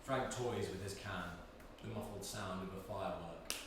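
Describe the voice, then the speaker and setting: A man's voice: low voice, normal speed. A man giving scripted narration, reading out stage directions for a play. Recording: very echoey, distant mic, noisy.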